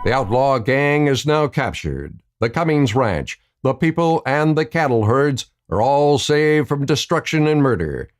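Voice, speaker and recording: A man's voice: medium pitch, very animated, unhurried, hardly any pauses, soft background music. A man giving dramatic scripted narration for an old-west radio play.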